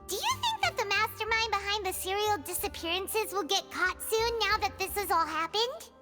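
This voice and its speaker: A woman voicing a tiny, childlike, excitable female fairy companion in a video game, chirpy and squeaky. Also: moderate pace. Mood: frustrated, curious. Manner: refers to self in third person, chirpy. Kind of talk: English video game voice acting. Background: music.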